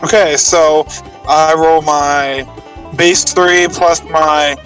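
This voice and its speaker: Higher-pitched male player, off the mic. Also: fairly even intonation, drawn-out words, fairly bright tone, recorded from a distance, faint music behind. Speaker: tabletop game player. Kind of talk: casual game chat.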